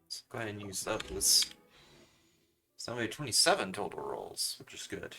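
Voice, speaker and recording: A young man streaming a game in a casual, chatty style. His voice is on the low side with plenty of fry and a bright, forceful edge, over faint music. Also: big pitch swings, normal speed. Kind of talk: casual livestream commentary.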